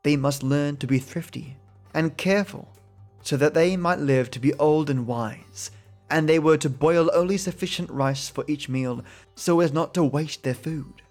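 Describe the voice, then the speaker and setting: A man's voice: on the high side, moderate pace, darkish tone, soft background music. A man steadily reading folk tales aloud as a podcast host. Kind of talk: storytelling narration.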